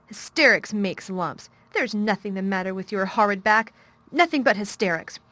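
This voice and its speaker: A woman's voice: medium pitch, moderate pace, a bit bright, no room sound, natural voice. A woman voicing a fierce, bossy little girl in a temper, scolding and commanding. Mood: furious.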